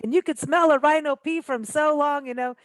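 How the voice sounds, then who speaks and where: A woman's voice: high voice, steady tone, brisk, dry recording, rough recording. A woman hosting a casual radio interview, chatty and enthusiastic, jumping in with agreement and stories. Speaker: radio show host.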